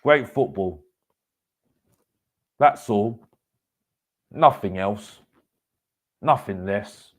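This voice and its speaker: A man's voice: on the low side, lively intonation, extremely slow, pauses often. Emphatic man hosting a football fan stream. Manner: emphatic, repeats phrases for effect. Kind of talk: live fan commentary, casual opinion rant.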